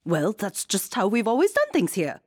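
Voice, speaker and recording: A stuffy, tradition-bound queen from a storybook, put on by a woman reading aloud. Her voice leaps high into squeals and swoops all over. The sound is close and dry. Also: wildly swooping pitch, measured pace, darkish tone, no echo. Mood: stubborn. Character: fussy set-in-her-ways old queen, shrill and gleeful.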